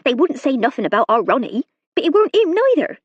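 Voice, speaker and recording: A lisping, toothless serving woman in an audiobook, anxious and pleading, her voice pitched high and leaping about, as a female narrator puts her on. The tone is husky and the recording a little dull. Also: big pitch swings, quick pace, dry recording, full character voice. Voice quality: lisping through missing teeth. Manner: rustic dialect, anxious and pleading. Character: toothless nervous serving woman, lower-class.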